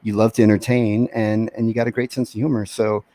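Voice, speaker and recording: A man's voice: fairly low pitch, little pitch movement, fairly quick, dark tone, dry recording. A man from Texas, a comic book inker, chatting casually as a guest, joking and laughing often. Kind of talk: casual chat.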